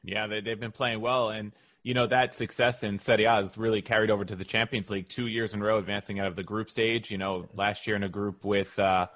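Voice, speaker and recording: Male podcast host, lower-pitched voice, conversational sports interview, dry close sound. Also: level tone, a little fast, a bit bright, dry recording.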